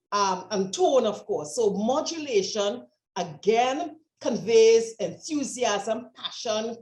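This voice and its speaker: A woman's voice: mid-range voice, darkish tone, echoey room, poor audio. A woman with a Trinidadian accent, a communication trainer giving encouraging, instructive coaching in an online workshop over a video call. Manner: encouraging, instructive, stretches words.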